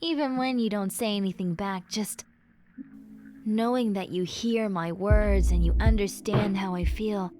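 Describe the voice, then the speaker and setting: A woman's voice: medium pitch, unhurried, dark tone, a little hiss or hum, close to an everyday voice. A woman playing a stressed, caring young best friend, venting earnestly and intimately to the listener in a conversational audio roleplay. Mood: softer, grateful. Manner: conversational, sighing, rambling, earnest.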